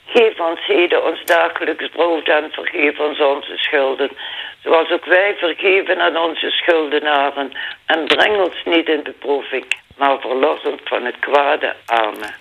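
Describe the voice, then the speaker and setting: A man answering the rosary prayers in a steady, recited way, heard over a phone line. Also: on the high side, a little slow, gravelly. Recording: tinny phone line with faint noise.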